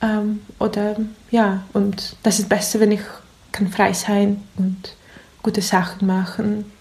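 A woman's voice: medium pitch, level tone, takes it slowly, darkish tone. A woman who is not a native German speaker, with a foreign accent, giving reflective interview answers in careful, searching phrases. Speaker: interview guest.

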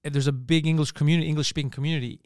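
Brief: comedian guest, Montreal Greek accent, rapid animated chat, dry close sound. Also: male voice, on the high side, clear, resonant tone, no room sound. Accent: Montreal Greek (Park Extension). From Montreal, Canada. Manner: animated, swears freely, quick restarts. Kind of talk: casual podcast chat.

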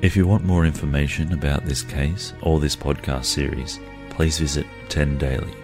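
A man's voice: very deep voice, vocal fry. A man reading scripted podcast credits as an announcer.

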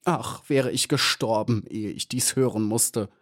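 A man's voice: medium pitch, measured pace, a bit bright, lightly acted. A man voicing a small, terrified young female bee who pleads tearfully. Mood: despairing.

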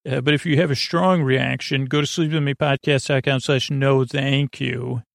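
A man hosting a sleep podcast, improvising a meandering monologue in a halting, absent-minded way and often trailing off mid-thought. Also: mid-range voice, steady tone, vocal fry, dry recording, clean studio sound. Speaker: podcast host.

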